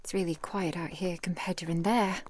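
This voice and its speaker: A woman voicing an affectionate, protective ancient vampire woman in a soft, intimate ASMR roleplay, with genteel old-fashioned phrasing. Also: lowish voice, fairly even intonation, a little fast, natural voice. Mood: playful, inviting.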